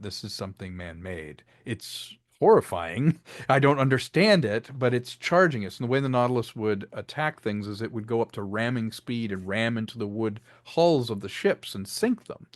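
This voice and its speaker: A man's voice: lowish voice, dry recording. Man explaining his craft, close dry sound. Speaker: interview guest, filmmaker and audio drama creator. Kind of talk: casual, explanatory interview talk.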